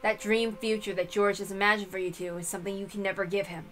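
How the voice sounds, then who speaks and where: A woman's voice: medium pitch, flat delivery, quick pace, a bit bright, soft background music, lightly acted. A woman voicing a sullen, guarded teenage servant boy who is blunt and dismissive, in a visual novel reading. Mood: bluntly pessimistic. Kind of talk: visual novel read-aloud character voice.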